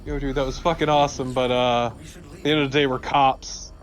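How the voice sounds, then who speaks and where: A man's voice: medium pitch, level tone, fairly quick. Male co-commentator, mid-range voice, dry casual banter with swearing, light music behind. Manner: wry, sweary. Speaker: let's play co-commentator.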